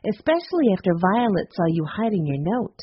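A woman's voice: medium pitch, sing-song, a little fast, slightly rough, highs cut off. A woman voicing a sensible young girl detective, plain and frank.

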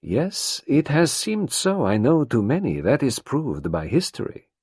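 Man playing a calm older detective, quiet, measured, courteous, gravelly. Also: mid-range voice, no room sound, clean studio sound, pitched above the performer's own voice, heavily acted. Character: calm older male detective, gentle, probing.

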